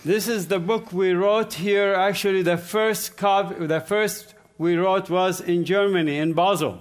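A man from the Gaza Strip giving a church testimony in short phrases, pausing for an interpreter. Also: high voice, steady tone. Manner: speaks in short phrases with pauses for translation. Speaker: guest speaker. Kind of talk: testimony talk with live interpreter.

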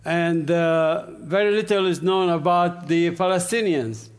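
High-voiced man giving testimony. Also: clean tone. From Gaza Strip. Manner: speaks in short phrases with pauses for translation. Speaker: guest speaker. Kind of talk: testimony talk with live interpreter. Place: church.

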